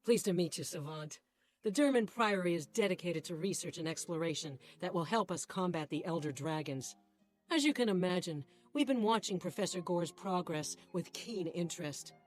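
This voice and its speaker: A polite little scholar in a fantasy game. The voice sits on the low side, formal and courteous, with quiet music behind. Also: female voice, animated, moderate pace. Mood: courteous, keen. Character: polite scholarly female gnomish researcher.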